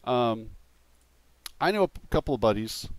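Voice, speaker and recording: Guy streaming games and chatting, rambly and sweary, pitch moves around a lot, dry mic. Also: lively intonation, unhurried, no room sound. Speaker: podcast host and game streamer. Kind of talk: casual livestream chat.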